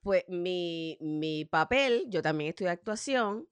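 Puerto rican lady chatting as a guest, deep smooth voice, close dry sound. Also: expressive, slow, dry recording. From Puerto Rico. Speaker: interview guest. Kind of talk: casual chat.

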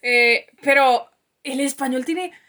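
A woman's voice: high-pitched, slow, very noisy. A young woman with a northern Mexican accent, chatting casually with her sister and teasing her. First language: Spanish. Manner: chatty, teasing, laughs often. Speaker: co-host, sister. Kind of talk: casual chat.

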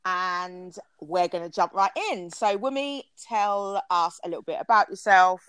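A woman's voice: fairly low pitch, unhurried, a bit bright, smooth. A young British woman hosting a podcast, chatting casually and laughing often. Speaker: podcast host. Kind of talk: casual chat.

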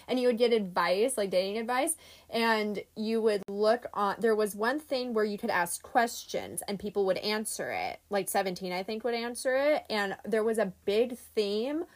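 A woman in her twenties talking casually on a podcast, chatty and animated, with lots of fillers and laughter. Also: medium pitch, normal speed. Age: 20s. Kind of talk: casual chat.

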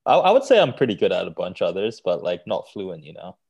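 A young man who grew up in Malaysia talks in a low-pitched voice, easygoing and open. He speaks frankly about his own feelings in a relaxed conversation over a video call. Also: fast talker, creaky, slightly rough, highs cut off. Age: young adult. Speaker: university student. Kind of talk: casual chat.

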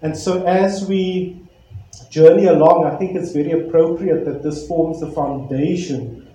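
Mellow-voiced pastor in echoey church. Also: male voice, a little high, steady tone, a little hiss or hum. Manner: earnest, reflective, pausing with fillers. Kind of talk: sermon and prayer. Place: church hall. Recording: very echoey church hall, faint ambience.

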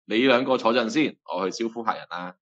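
An easygoing, burly bartender with a past in the gangs, voiced by a man, speaking with a Hong Kong Cantonese accent. He tells stories in a lowish voice. The recording is dull and lo-fi. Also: fairly quick, fairly bright tone. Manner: easygoing, storytelling. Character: laid-back burly male bartender, ex-gangster. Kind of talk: Cantonese radio drama acting.

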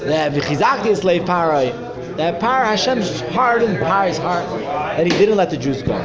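A man's voice: high voice, lively intonation, normal speed. A man teaching an informal Torah class, explaining step by step and posing rhetorical questions to his students. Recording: dull lo-fi recording with loud crowd.

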